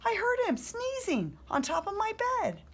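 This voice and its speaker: A woman voicing a timid, excitable little boy monster with urgent, childlike exclamations in a storybook. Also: extremely high pitch, moderate pace, a little hiss or hum, pitched above the performer's own voice, exaggerated voice. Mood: alarmed.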